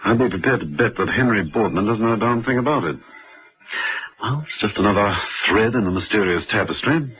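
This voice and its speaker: A man in a vintage radio drama playing a suave, witty British gentleman adventurer who teases urbanely with drawn-out pauses. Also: fairly low pitch, expressive, poor audio. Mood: musing. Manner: urbane, teasing, drawling pauses.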